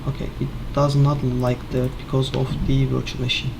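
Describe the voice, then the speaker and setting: A man casually thinking aloud in an office while fixing software, halting with many filler sounds. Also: mid-range voice, monotone, creaky, noisy background. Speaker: Linux developer screencasting.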